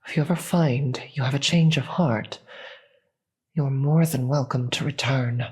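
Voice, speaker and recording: A performer voicing a grave, weary demon queen in a dramatic audio roleplay, with a breathy, husky voice and slow, deliberate delivery. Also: medium pitch. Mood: stern, commanding. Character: weary, commanding demon queen, secretly soft-hearted.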